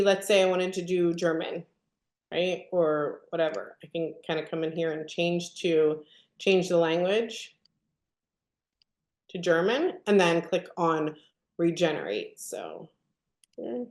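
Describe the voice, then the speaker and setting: A woman presenting a software demo on a live video call, upbeat and often asking her audience questions. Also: fairly low pitch, slow, roomy sound. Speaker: product presenter. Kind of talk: live webinar product demo.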